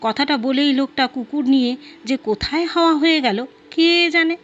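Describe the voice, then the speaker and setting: A woman with a high voice reading a story aloud in an expressive, dramatized narration. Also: moderate pace. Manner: expressive, acts out dialogue. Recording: dull lo-fi audio, music behind. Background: background music.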